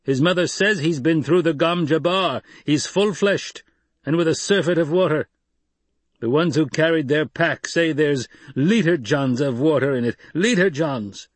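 A man putting on a volatile desert warrior who growls out his challenges in a raised, louder voice. The voice is husky and dry, and each line lands hard. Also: a little high, measured pace, no echo, pitched above the performer's own voice. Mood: angry. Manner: growling, snapping. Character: angry volatile male desert warrior, loud and grudging.

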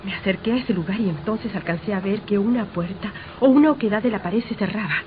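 An actress playing a suspicious, insistent young wife in an old radio drama. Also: female voice, mid-range voice, fast, close to an everyday voice. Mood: secretive, excited. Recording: dull old radio recording, faint noise.

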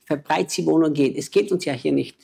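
A man's voice: fairly high pitch, brisk, creaky, darkish tone, breathy edge. A man, a missionary preacher and native German speaker, talking with emotional, urgent exhortation in a conversation.

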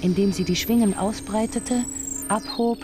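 A woman's voice: mid-range voice, fairly even intonation, measured pace. A woman narrating a scripted radio drama in literary prose. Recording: studio voice over clear music.

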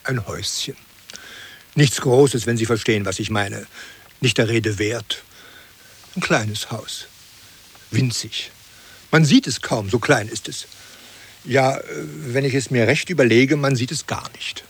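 An actor playing an earnest, pedantic gentleman who talks politely and qualifies himself, in an absurd comic radio play. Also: medium pitch, measured pace, gravelly, long pauses, breathy edge. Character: earnest, pedantic gentleman praising country life.

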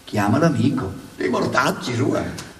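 A man's voice: medium pitch, lively intonation, unhurried, noisy background, natural voice. A man playing a haughty, defensive, mocking pope in a satirical stage debate, with sneering, emphatic theatrical phrasing. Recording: echoey stage, distant microphone.

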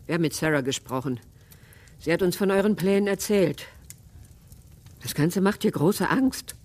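An actor playing a calm, calculating man in a radio crime drama, speaking in a measured, persuasive way. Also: high-pitched. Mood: soothing, probing. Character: calm, calculating man pressing a quiet bargain.

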